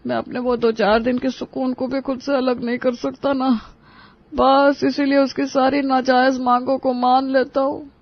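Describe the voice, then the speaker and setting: A man's voice: very high-pitched, level tone, moderate pace, highs cut off. A man voicing a henpecked, complaining elder brother in a Hindi cartoon, talking in a familiar, plaintive way. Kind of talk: dramatic Hindi cartoon dialogue.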